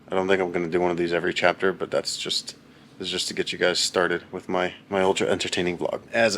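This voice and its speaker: A young man with a very low voice, chatting casually and deadpan to a camera at home, rambling and poking fun at himself. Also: slight background noise. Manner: deadpan, rambling and self-mocking. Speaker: husband reading a book on camera. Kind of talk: casual vlog chat.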